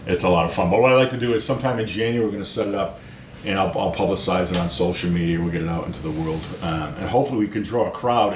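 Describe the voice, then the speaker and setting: A man talking as an interview guest, earnest and emphatic, often checking in with a questioning tag. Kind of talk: conversational interview. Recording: roomy echo, low rumbling background noise.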